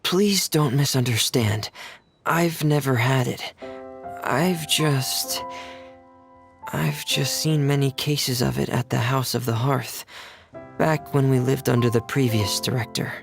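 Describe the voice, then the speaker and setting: Reserved teen boy, soft-spoken, hesitant, somber, unhurried, video game character, faint music behind. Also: medium pitch, gravelly. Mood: grave.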